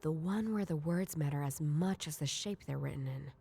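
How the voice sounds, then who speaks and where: Young woman detective for a noir game: low, dark tone, dry musing narration, faint music bed. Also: normal speed, creaky, slight background noise. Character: wry young woman detective chasing grandpa's riddles. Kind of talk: scripted game voice-over, inner monologue.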